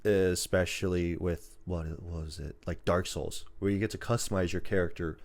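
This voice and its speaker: A man's voice: low-pitched, steady tone, normal speed, a little mellow. A man co-hosting a podcast, chatting casually about games and movies in a rambling, emphatic, sometimes sweary way. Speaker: podcast co-host.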